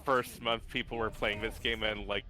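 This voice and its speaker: A man's voice: fairly low pitch, level tone, normal speed, sharp tone. A man with a very nasal voice, chatting casually as a guest while playing a game, in a joking, self-deprecating way. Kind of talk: casual chat while gaming.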